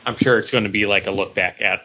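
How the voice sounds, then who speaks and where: A man's voice: on the low side, steady tone, fast, background noise. A man co-hosting a podcast, talking casually about comic books on a slightly muffled, lo-fi recording. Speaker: podcast co-host. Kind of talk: casual comic book chat. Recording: dull lo-fi recording.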